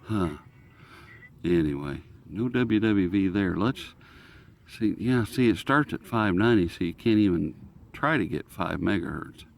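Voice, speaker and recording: A man's voice: sits low, lively intonation, unhurried, gravelly. A man hosting a hobby channel, giving a casual, unscripted demonstration in a folksy, rambling way as he muses aloud. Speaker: radio hobbyist channel host. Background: shortwave radio being tuned.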